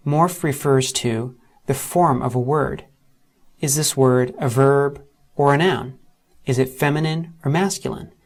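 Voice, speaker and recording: A man with a mid-range voice talks through a software tutorial in a steady, methodical way. He takes each step in order and slows right down whenever he spells out a term or letters. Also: medium pitch. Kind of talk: scripted screen-recorded tutorial narration.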